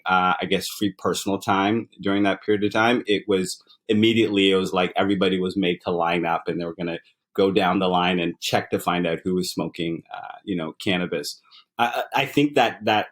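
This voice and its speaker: A man in his forties from Uganda, a startup founder and author, talking in a relaxed, reflective way with many fillers and repeated words. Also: low-pitched. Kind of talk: relaxed interview conversation.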